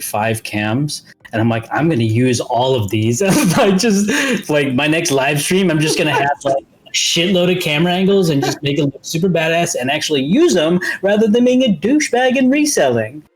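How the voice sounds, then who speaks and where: A man's voice: fairly high pitch, expressive, normal speed, few pauses. A man chatting casually in a slangy, joking way on a talk show. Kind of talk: casual chat.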